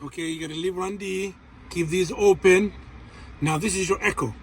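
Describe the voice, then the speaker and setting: A man's voice: high voice, fairly even intonation, moderate pace. A man casually explaining equipment like an installer, in short informal phrases sprinkled with "man" and "you know.". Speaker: CB radio installer. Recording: noisy recording around truck and radio.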